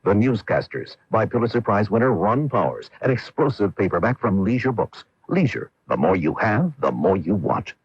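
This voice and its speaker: A man's voice: lowish voice, big pitch swings, moderate pace, slightly rough, dull audio. A man reading a scripted commercial as an old radio announcer.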